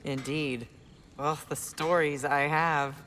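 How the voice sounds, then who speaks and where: A woman's voice: low voice, fairly even intonation, slow, a bit bright, a little hiss or hum. An actress playing a dignified noble queen in a game, stern but caring, with dry wit. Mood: firm. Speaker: leader of refugee people.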